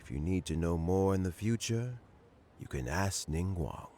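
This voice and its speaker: A man voicing a shrewd, formal older gentleman in a video game, with gravelly, measured speech. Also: deep voice, expressive, a little hiss or hum. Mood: measured, serious.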